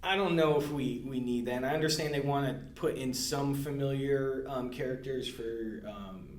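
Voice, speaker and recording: A man in a casual podcast discussion, speaking in halting, self-correcting phrases. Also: medium pitch, a little hiss or hum. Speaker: podcast co-host. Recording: roomy, echoey indoor sound.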